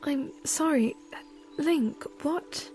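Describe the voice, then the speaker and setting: Casting: female actor for young princess, audio drama, high voice, slow, gentle and concerned, clear music beneath. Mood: confused concern.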